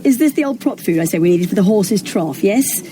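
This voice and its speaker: An actress playing a harried, commanding film director in a radio sitcom, curt and bossy. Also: female voice, medium pitch, expressive, fairly quick, background noise, lightly acted.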